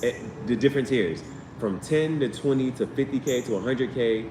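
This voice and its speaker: A man hosting a casual live stream as a tarot reader, rambling with slangy asides and repeating himself as he goes. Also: moderate pace. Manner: repetitive, tangential, slangy asides. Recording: roomy, distant mic, noisy background with vehicle rumble.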